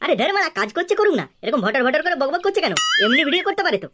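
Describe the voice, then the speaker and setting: Man voicing a fast, squeaky, cocky cartoon lad. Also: sing-song, sharp tone, exaggerated voice. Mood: irritated, boastful. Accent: West Bengal. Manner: brash, argumentative, boastful. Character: cocky, foul-mouthed young Bengali cartoon troublemaker. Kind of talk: comedy cartoon dialogue.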